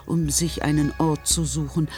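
Ein Mann erzählt als literarischer Erzähler eine Geschichte in einem Hörspiel, nach geschriebenem Text. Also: male voice, fairly high pitch, steady tone, normal speed, over loud music. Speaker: narrator. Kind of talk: literary scripted narration in a radio play.

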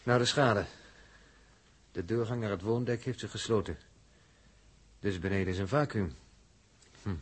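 Husky, low-voiced resolute spaceship commander. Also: male voice. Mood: brisk, focused. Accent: Netherlands Dutch. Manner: deliberate, authoritative. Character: calm, resolute spaceship crewman taking over command. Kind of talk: 1960s Dutch radio drama acting.

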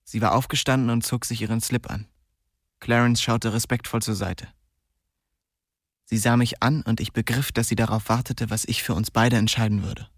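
Radio drama narrator: male actor as restrained young man, husky, terse and reflective, recorded dry. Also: medium pitch, flat delivery, normal speed, no echo, close to an everyday voice. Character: young male first-person narrator of a crime story. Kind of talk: scripted radio play narration.

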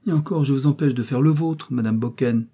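Brief: man doing a sly, gushing woman neighbor, storybook reading, husky and high. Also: a little fast, mellow. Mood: effusive. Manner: fussy, pleading and effusive. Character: sly, chattering woman neighbor, fussy and ingratiating.

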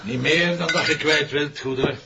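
An actor playing a confident, wry police inspector in a crime drama, speaking with a Flemish accent. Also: male voice, on the high side, moderate pace. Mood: casual, dry. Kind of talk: naturalistic crime drama dialogue. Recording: dull lo-fi audio with clear noise.

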